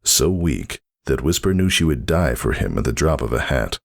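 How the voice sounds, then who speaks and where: A man's voice: extremely low pitch, lively intonation, normal speed, creaky. A man narrating a story as a dramatic reading, solemn and theatrical. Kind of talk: dramatic scripted fan fiction narration.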